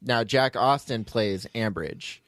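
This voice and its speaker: Male podcast host for informal banter; deadpan teasing, medium pitch, close and echo-free. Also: slow. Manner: dry teasing. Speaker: podcast host, audio drama writer and producer. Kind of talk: casual joking podcast chat. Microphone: close podcast microphone.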